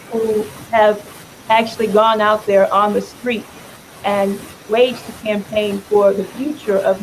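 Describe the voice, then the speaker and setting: A hoarse, raspy-voiced woman speaking to a room as a political candidate. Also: medium pitch, background noise. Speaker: congressional candidate. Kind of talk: campaign speech.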